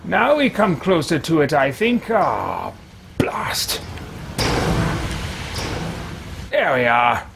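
A man playing a haughty, impatient wizard adventurer in a video game roleplay, muttering to himself in formal, pompous, halting phrases. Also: on the high side, huge pitch swings, gravelly, heavy background noise, heavily acted. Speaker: let's play host. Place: small home room, indoors.

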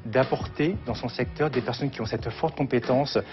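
A man's voice: medium pitch, normal speed. A man giving a formal interview statement in long, flowing explanatory sentences. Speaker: car industry job fair representative. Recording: slightly dull sound over music. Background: faint background music.

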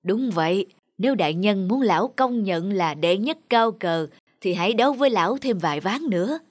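An actor playing a wise, humble old countryside man in an animated folk tale, speaking politely and with measured calm. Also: sits high, no room sound, studio quality. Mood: calm, challenging. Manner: measured, polite, gently instructive. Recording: music under the dialogue.

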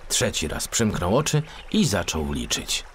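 A man reading a children's story as a scripted audiobook, expressive and dramatic. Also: low-pitched, unhurried, slight background noise. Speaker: audiobook narrator.

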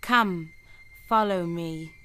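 Calm solemn spirit, slow deliberate delivery, bright voice, old radio play. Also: female voice, fairly low pitch, a little hiss or hum.

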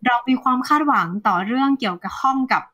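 A woman in her thirties, an economist guest, explaining the market in a lively, conversational way over a video call. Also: high-pitched, fast, highs cut off. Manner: animated, refers to herself by nickname, mixes English terms. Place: plain indoor room, video call.

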